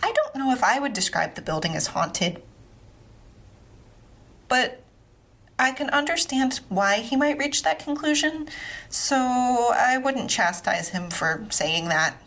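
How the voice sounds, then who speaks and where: An actress playing an eccentric, courteous doctor in a comic audio fiction phone monologue, formal and deadpan. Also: female voice, high-pitched, slow pace, exaggerated voice.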